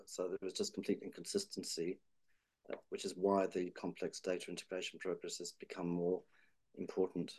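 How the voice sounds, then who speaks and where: A man with a very low, mellow voice talks in a flat, even tone. He speaks thoughtfully and hedges as he goes, with plenty of fillers and restarts. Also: moderate pace, husky. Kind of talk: policy discussion.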